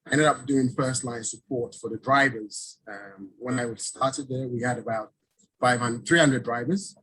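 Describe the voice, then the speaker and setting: A middle-aged man from Ghana, a business owner talking casually in an interview over a video call, relaxed, often chuckling and restarting. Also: medium pitch, normal speed, husky, poor audio.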